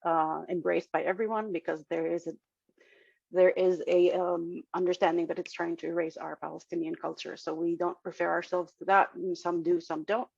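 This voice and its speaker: A woman's voice: on the low side, flat delivery, moderate pace, gravelly, darkish tone, husky, no echo. A woman from Nazareth whose first language is Arabic, giving an informal talk to a congregation over a video call, explaining with many fillers and restarts. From Nazareth, Israel. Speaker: guest speaker at an interfaith service. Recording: dull lo-fi video call audio.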